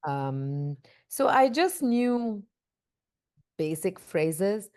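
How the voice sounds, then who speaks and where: A middle-aged woman from India with an Indian accent, telling her life story casually in an interview and filling pauses with "you know". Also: mid-range voice, big pitch swings, a little mellow, smooth. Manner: chatty, leans on "you know" fillers, laughs easily. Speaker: oral history interviewee.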